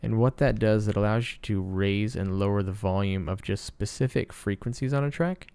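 A man presenting a casual sound design tutorial in a low-key, explanatory way. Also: normal speed, a little mellow, clear, resonant tone, dry recording. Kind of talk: casual screen-recorded tutorial narration.

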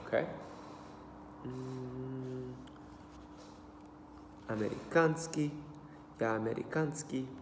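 Slow halting American man, echoey room. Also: medium pitch, soft background music. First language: English. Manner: slow, halting, repeats words to practice. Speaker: language learner. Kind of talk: self-study vlog, reading phrases aloud. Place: small room indoors. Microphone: laptop webcam at desk. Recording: roomy echo with long reverb.

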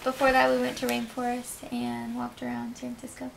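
A woman's voice: a little high, completely flat delivery, fairly quick, creaky, slight background noise, low-quality recording. A young woman vlogging in casual chat from a small bedroom, talking into a handheld camera at arm's length. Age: young adult.

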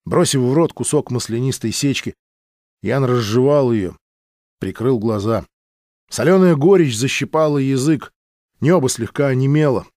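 Male narrator for literary audiobook, mid-pitched voice, dry studio-tight sound. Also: moderate pace, a little mellow, no echo. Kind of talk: scripted dramatic literary narration.

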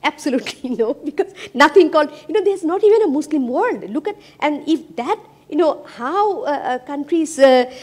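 Woman, high voice, emphatic panel speaker, handheld mic, conference hall. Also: expressive, normal speed, a little mellow. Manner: emphatic, many fillers. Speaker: panelist, professor. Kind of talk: roundtable discussion.

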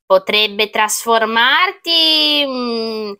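A woman voicing a boastful, gloating storybook witch with a theatrical, drawn-out, taunting delivery. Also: a little high, animated, bright, forceful tone, exaggerated voice. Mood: taunting, menacing. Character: gloating, boastful female witch cooking disgusting soups.

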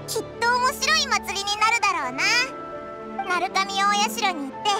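A woman voicing a small, childlike fairy companion in a Japanese anime game, blunt and excitable, with a brash boyish way of talking. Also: normal speed. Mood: eager, curious. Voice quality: squeaky, childlike. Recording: loud music behind the voice.

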